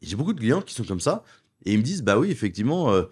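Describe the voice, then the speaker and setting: A middle-aged man from France, a wealth advisor in a studio interview, making his case persuasively and listing points in quick runs. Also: medium pitch, big pitch swings, husky. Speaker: wealth advisor and property investment guest. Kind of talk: conversational interview.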